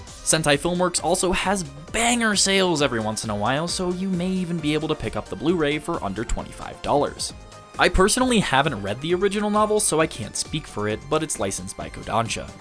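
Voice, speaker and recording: A man's voice: on the high side, very animated, fast talker, a bit bright, faint music behind. A man presenting a video essay as the host, speaking to viewers in a review style. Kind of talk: scripted review narration with occasional casual asides.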